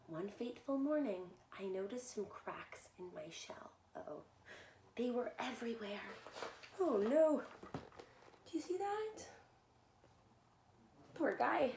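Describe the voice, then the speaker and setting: Female children's storyteller, high voice, expressive and animated read-aloud delivery, roomy echo with faint noise. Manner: animated, addresses listeners with simple questions. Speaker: children's story reader and soccer coach. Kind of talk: expressive read-aloud of a picture book with asides to young children. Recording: echoey room, faint background noise.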